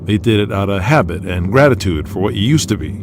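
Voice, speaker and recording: A man's voice: fairly low pitch, lively intonation, fast, background noise. A man voicing an earnest, nervous young wrestling fan who talks candidly in an audio drama. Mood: apologetic, frank.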